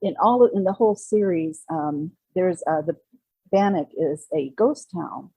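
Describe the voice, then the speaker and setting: A woman in her sixties, a guest author telling personal stories in a casual, halting conversational way. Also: on the low side, warm tone, slightly rough, no room sound, poor audio. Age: 60s. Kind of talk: casual interview, personal storytelling.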